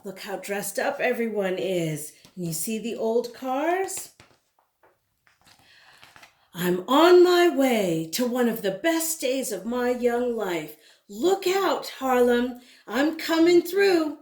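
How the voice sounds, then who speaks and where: A woman reading a picture book aloud to children, expressively, pausing to speak directly to her young listeners. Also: on the high side, sing-song, darkish tone, roomy sound. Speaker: children's story reader.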